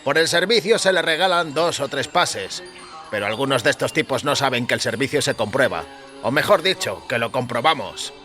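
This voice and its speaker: Irritable male circus gatekeeper for audio fiction, played by a male narrator: curt, contemptuous, forceful, pitched up. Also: expressive, a little fast, soft background music. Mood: irritated, grudging.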